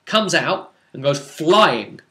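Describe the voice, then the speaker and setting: A man recapping a tabletop game session as game master, telling it like an animated story with dramatic emphasis and drawn-out words. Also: on the high side.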